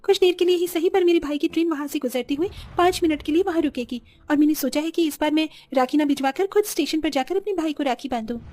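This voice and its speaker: A woman voicing an earnest, polite young village seamstress in an animated story. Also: high-pitched, fast, hardly any pauses, natural voice. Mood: eager, polite. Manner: polite, pleading.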